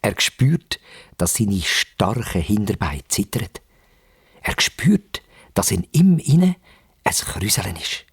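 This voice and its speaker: A man narrates for young children with a Swiss German accent. His voice is markedly husky and moves widely in pitch. He uses dramatic pauses and repetition, with music underneath. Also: big pitch swings.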